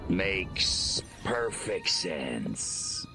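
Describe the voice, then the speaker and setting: An actor voicing a cocky, menacing alien invader in a video game, gravelly and wisecracking with a sardonic bite. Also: male voice, low voice, extremely slow, loud music behind the voice, exaggerated voice, low-quality recording. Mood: dry, sardonic. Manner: deadpan, wisecracking.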